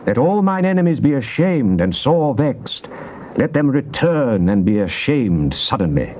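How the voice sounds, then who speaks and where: An actor playing a devout psalmist in a dramatized scripture reading, with a British accent and solemn, declamatory, measured phrasing. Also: male voice, medium pitch, very animated, a little mellow. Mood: anguished pleading. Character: devout psalmist pleading and praising God. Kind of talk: dramatized scripture reading, classical stage delivery. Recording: dull, noisy old cassette tape.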